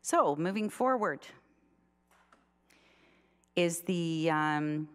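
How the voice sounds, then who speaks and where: A woman chairing a town council meeting as mayor, talking in halting bursts with frequent restarts. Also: sits low, lively intonation, smooth. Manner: halting, many restarts and fillers.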